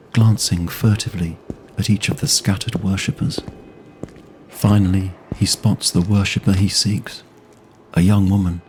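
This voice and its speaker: A man narrating a scripted fantasy audio drama in a measured, literary storytelling manner. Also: low voice, darkish tone, a little hiss or hum, rough recording.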